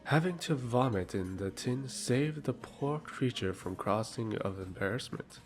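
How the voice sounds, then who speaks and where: A man playing a haughty, sardonic noble lord in an audio drama, speaking in a pompous, measured, formal way. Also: medium pitch, lively intonation, faint music behind, close to an everyday voice.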